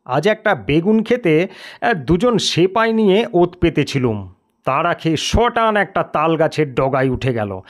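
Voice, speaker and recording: A man voicing a pompous, suspicious and superstitious village police officer in an audio story, gruff and blustering in manner. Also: a little high, big pitch swings, a little slow. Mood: grave, grumbling. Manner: gruff, grave-toned, blustering. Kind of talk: dramatised audio storybook character.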